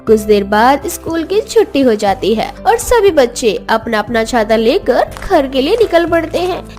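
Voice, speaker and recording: A woman's voice: high voice, a little mellow. A woman narrating a scripted children's story as the storyteller.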